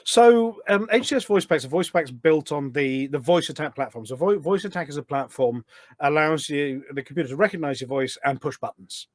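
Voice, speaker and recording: A man's voice: fairly high pitch, fairly quick, no room sound. A man chatting casually as a podcast guest, telling anecdotes in a rambling, talkative way. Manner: chatty and rambling, acts out quoted remarks. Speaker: podcast guest and community radio show presenter.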